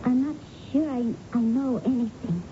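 Lady playing a jittery waitress, highish voice, hesitant n unsure, old radio drama, noisy muffled sound. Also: moderate pace, dark tone, natural voice. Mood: nervous. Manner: hesitant, second-guessing. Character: nervous, doubtful waitress reporting to police. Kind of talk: 1970s radio drama acting.

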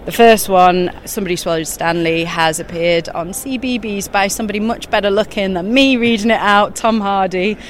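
A woman talking as an interview guest at a busy exhibition show, animated and quick, often laughing mid-sentence. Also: medium pitch, a little hiss or hum. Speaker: guest, children's author and eco-journalist. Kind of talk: casual interview chat.

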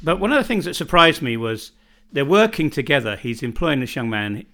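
A man's voice: mid-range voice, very animated, fairly quick, bright, forceful tone. A man from Britain co-hosting a casual podcast chat at home, rambling and often restarting his sentences. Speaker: podcast co-host. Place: home in northern Bosnia.

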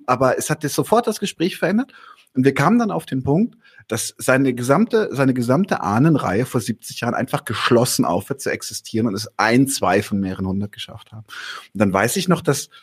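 A man hosting an interview talks through a thought as it comes to him, hesitating and filling gaps. His voice is mid-pitched and husky. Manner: reflective, hesitant, fillers. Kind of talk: conversational interview.

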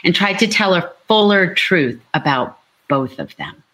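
A woman with a slightly low voice speaks as a novelist being interviewed. She is earnest and builds her points like stories, repeating phrases for emphasis. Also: expressive, unhurried, pushed and bright, poor audio. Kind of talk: animated book talk on an online panel.

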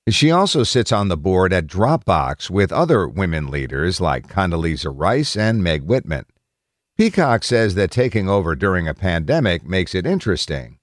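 A man with a deep voice narrates written news articles. His intonation moves around in a lively way as he reads the script. The sound is dry, with no room around it. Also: no room sound.

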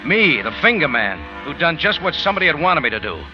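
A man's voice: fairly high pitch, very animated, fast. An actor playing a tough, wry detective who tells his own story in clipped slang, in 1940s radio drama style. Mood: tense, rueful. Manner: hard-boiled, clipped, slangy. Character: tough, wry American detective hero telling his adventure. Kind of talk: 1940s radio drama acting and first-person narration. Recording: muffled old radio recording, music behind.

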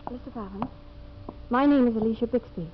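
An actress playing a polite, earnest young homesteader with a proper, sincere manner, in 1950s radio drama style. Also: female voice, a little high, brisk, lightly acted. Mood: earnest, grateful. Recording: dull, hissy 1950s radio broadcast recording.

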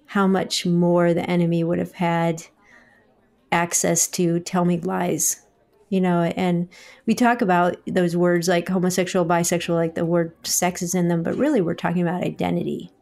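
A woman in her forties hosting a faith-based interview, measured and reflective in how she speaks. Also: on the low side, little pitch movement, vocal fry, darkish tone. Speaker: host.

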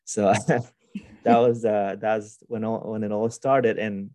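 A young man speaks low and dark, his pitch staying flat and even. He tells his story as a guest, pausing, restarting and filling gaps as he goes. The sound is dry and close, with no room around it. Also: dry recording. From Honduras. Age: young adult. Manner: hesitant, many restarts and fillers. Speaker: podcast guest. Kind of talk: casual interview storytelling.

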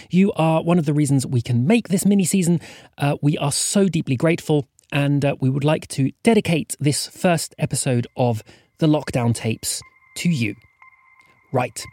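A man's voice: fairly high pitch, darkish tone, dry recording, clean studio sound. A man hosting a podcast, introducing an episode in an earnest, polite, slightly formal way.

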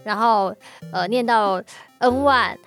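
A woman's voice: on the high side, slow, a bit bright. Playful Taiwanese woman podcast host. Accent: Taiwanese Mandarin. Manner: playful, teasing. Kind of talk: casual chat. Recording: music playing underneath.

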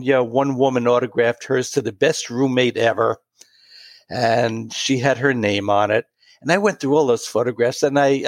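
A man in his late sixties telling a personal story in an interview, pausing and restarting often. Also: medium pitch, dry recording. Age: 60s. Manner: warm, halting anecdotal storytelling with many restarts. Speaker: guest.

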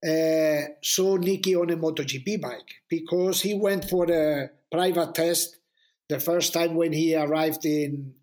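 Male journalist guest, high voice, foreign-accented English, halting casual storyteller who repeats words for emphasis. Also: steady tone, darkish tone. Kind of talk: casual anecdotal chat.

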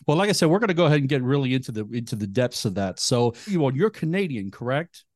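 Male podcast host, mid-pitched, relaxed, dry close-mic sound. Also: quick pace, no echo. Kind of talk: interview questions, casual.

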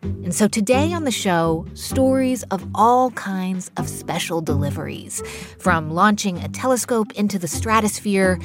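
A woman's voice: medium pitch, animated, measured pace. Woman hosting over music. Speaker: radio show host. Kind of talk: scripted narration. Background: music under the voice.

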